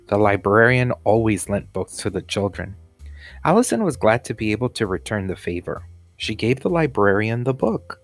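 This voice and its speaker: A man reading a children's story aloud as a teacher would, with music behind him. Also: on the low side.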